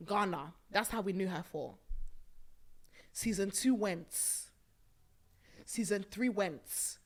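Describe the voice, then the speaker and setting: A young woman hosting a podcast from a small studio set, chatting emphatically about a reality show into a boom mic, with bursts of laughter. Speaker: podcast host. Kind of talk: casual chat reviewing a reality show. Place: small purple-lit studio set on a sofa. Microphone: studio mic on a boom arm.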